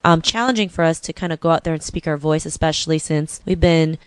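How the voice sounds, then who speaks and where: A woman's voice: low-pitched, fast talker, clean tone, no room sound. A woman hosting a podcast interview, chatting casually and often saying "you know" between thoughts. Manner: friendly, leans on "you know" fillers. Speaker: podcast host.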